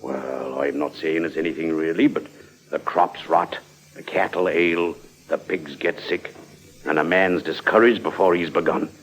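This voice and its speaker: An actor playing a gloomy, ominous village pub landlord, slow and folksy, in old radio drama style. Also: male voice, very deep voice, fairly even intonation. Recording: dull lo-fi old radio recording.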